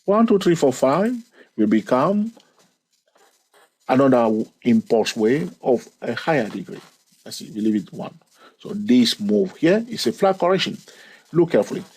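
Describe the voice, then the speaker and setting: A man's voice: mid-range voice, big pitch swings, moderate pace, long pauses, a little mellow, husky, low-quality recording. A man hosting his channel, walking through a chart lesson, stretching key words and repeating counts. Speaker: trading educator and channel host. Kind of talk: screen-recorded chart lesson.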